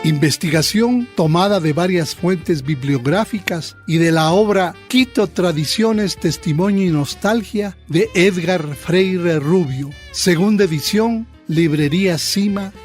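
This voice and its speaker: A man's voice: high-pitched, a little slow, few pauses, a little mellow, faint music behind. A man giving scripted, declamatory literary narration for a radio drama.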